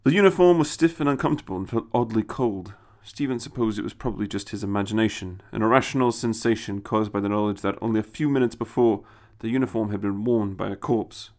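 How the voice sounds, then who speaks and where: A man's voice: fairly low pitch, quick pace, few pauses, darkish tone. A man reading a short science fiction story as scripted dramatic narration.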